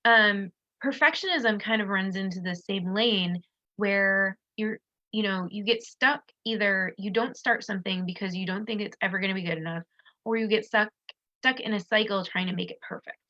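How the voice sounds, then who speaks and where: A woman's voice: medium pitch, moderate pace, pushed and bright, highs cut off. A woman giving casual business advice on a live stream, stuffed up and losing her voice, chatty and full of restarts. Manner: chatty, loose, with many restarts and fillers. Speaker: business coach. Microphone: streaming on a social video platform.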